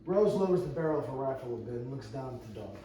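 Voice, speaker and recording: A man's voice: medium pitch, lively intonation, fast. Man narrating screenplay directions in an echoey room. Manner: plain, stumbles and rereads phrases. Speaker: narrator reading stage directions. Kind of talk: amateur table read of a screenplay. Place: indoor room with a few other readers. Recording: echoey room, distant mic, noisy.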